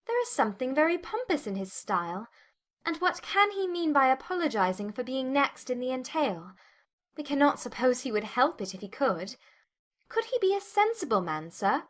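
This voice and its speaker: A woman plays a questioning young daughter from a classic novel. Her voice is high and rises and falls widely, animated with quick-witted curiosity. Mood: puzzled, curious. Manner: animated, inquisitive. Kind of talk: dramatized classic novel reading.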